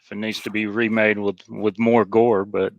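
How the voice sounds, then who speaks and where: A man's voice: lowish voice, little pitch movement, moderate pace, vocal fry, dry recording. A man calling in over the internet, chatting casually in a hesitant, unpolished way. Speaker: caller. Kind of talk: casual chat. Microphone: internet call. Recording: dull lo-fi internet call.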